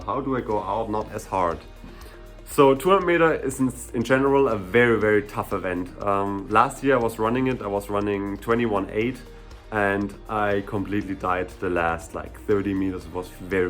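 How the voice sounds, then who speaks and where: Young german guy, german accent, laid-back sprint q&a talk, soft guitar music under it. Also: on the low side. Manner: relaxed, chatty, many fillers like "you know" and "like". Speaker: sprinter and hurdler. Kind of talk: casual Q&A vlog.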